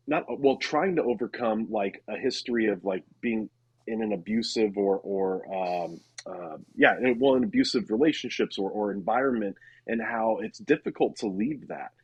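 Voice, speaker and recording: A man's voice: on the low side, creaky, slightly rough, dry recording, low-quality recording. A man co-hosting an interview in casual chat, putting questions hesitantly and tentatively. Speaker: interviewer, podcast co-host.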